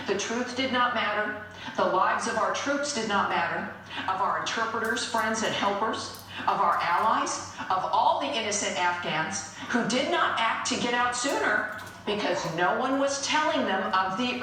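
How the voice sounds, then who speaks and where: A woman's voice: mid-range voice, pushed and bright, very echoey, distant mic, a little hiss or hum, poor audio. An American woman delivering a scripted political opinion monologue as a talk show host, emphatic, accusatory and indignant.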